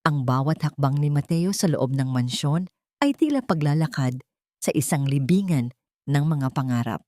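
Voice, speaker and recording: A woman's voice: sits low, lively intonation, moderate pace, mellow, no echo. A woman reading a scripted dramatic story in a solemn, melodramatic manner. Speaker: storyteller narrator.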